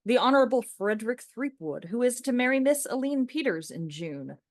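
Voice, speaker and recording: A woman's voice: a little high, animated, a little fast, dry recording, studio quality. A woman playing an opinionated, sardonic young man in a radio play, lecturing in an emphatic, mocking way. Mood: sceptical, mocking.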